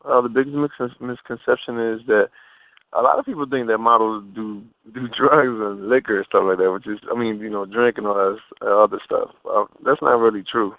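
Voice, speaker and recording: A man's voice: lowish voice, fairly even intonation, normal speed, no echo. A man giving a casual interview as a guest over the phone, talking informally with slang and rephrasing himself as he goes. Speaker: interview guest, fashion model. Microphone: telephone. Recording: muffled narrow phone line.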